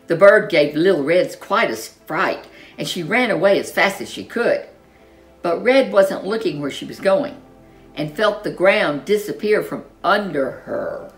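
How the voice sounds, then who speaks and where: Low-voiced, animated woman storyteller. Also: a little slow, faint music behind, rough recording. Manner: expressive, dramatic. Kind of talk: children's story narration.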